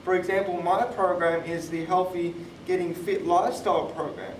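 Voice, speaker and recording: Male, twenties, high voice, explanatory panel speaker; recorded far from the mic in an echoey room. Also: fairly even intonation, moderate pace. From born in Thailand, moved to Australia. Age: 20s. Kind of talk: personal talk on a live panel podcast. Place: conference room, live event. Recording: roomy, distant mic, clear background noise.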